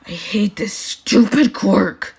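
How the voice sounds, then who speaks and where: Woman reading as a gruff, prickly teenage boy. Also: mid-range voice, takes it slowly, darkish tone, voice pushed up, exaggerated voice. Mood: blunt, irritated.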